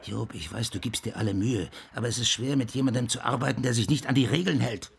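A man's voice: medium pitch, fast talker, gravelly, lightly acted. An actor playing a hard-edged, insistent man who speaks bluntly in a naturalistic radio drama. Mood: stern, pressing. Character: hard-edged, pressuring man with a shady past.

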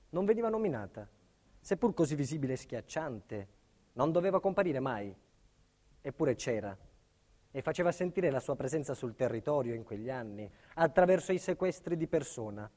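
Actor as earnest builder, higher-pitched, reverberant. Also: male voice, darkish tone, close to an everyday voice. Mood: grave. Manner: earnest, deliberate, emphatic pauses. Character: proud, burdened Calabrian builder telling his life story. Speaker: lead actor and narrator. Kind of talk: theatrical monologue, dramatic storytelling. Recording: long hall reverb.

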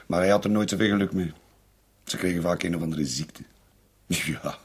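A man's voice: low voice, husky, heavily acted. An actor playing a dismissive, soothing middle-aged Flemish husband and father in a radio play. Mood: calm, reassuring. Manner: soothing, dismissive, mildly mocking. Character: dismissive, calm-minded husband and father Claude.

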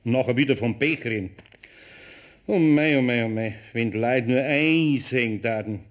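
An actor playing a good-natured, patient older master craftsman with a Bavarian accent in a children's radio play, homely and gently admonishing. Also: mid-range voice, moderate pace, lightly acted. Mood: patient, resigned.

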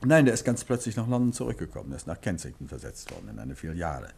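An actor playing a courteous, unruffled gentleman detective and writer in a 1950s German radio play, measured and probing. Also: low voice, very animated, creaky, husky, heavily acted. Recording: 1950s radio drama recording.

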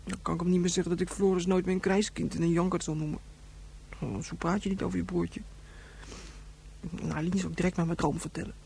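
Headstrong young boy, rapid childish chatter, creaky edge, radio play, faint hum. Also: lowish voice, little pitch movement, dark tone. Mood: sulky, stubborn. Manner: insistent, overblown childish intensifiers. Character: excitable, headstrong young boy, big brother. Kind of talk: Dutch radio play acting.

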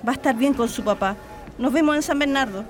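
A woman's voice: sits high, fast talker, soft background music, lightly acted. A woman playing a caring, anxious mother in a radio drama. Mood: tender.

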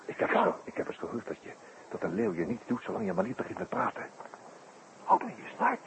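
An actor playing a sharp, laconic, teasing detective in a 1960s radio drama, hesitating and repeating himself wryly. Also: male voice, sits high, wildly swooping pitch, a little fast, creaky, exaggerated voice. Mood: tense, hushed. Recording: dull, noisy 1960s radio recording.

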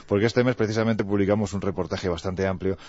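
Man from andalusia, animated radio chat, quick, lower voice, dry dull sound. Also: no room sound. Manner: animated, jokey, frequent fillers. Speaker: radio contributor on mysteries. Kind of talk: lively radio chat and storytelling.

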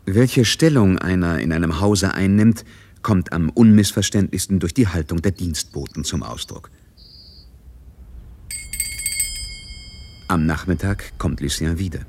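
An actor playing a composed, knowing narrator in a radio drama, delivering literary narration in a measured, wry way. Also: male voice, low voice, a little mellow, husky. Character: knowing, composed male narrator of a society novel.

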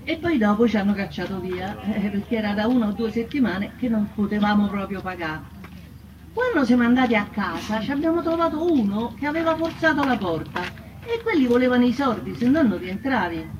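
A high female voice with a Roman accent, an actress as a plain-spoken, bitter working-class wife. She tells her hardships emphatically and colloquially in theatrical testimony. The sound is dull and distant, with music behind her. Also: lively intonation, a little fast, a crowd in the background, natural voice. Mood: aggrieved, weary. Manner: colloquial, emphatic, repeating words. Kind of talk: theatrical first-person testimony.

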